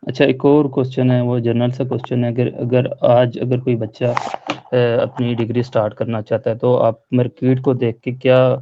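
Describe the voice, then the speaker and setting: A man's voice: mid-range voice, little pitch movement, moderate pace, lo-fi sound, a little hiss or hum. A man taking part in an online class, asking questions and briefly acknowledging the instructor's answers. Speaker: class participant.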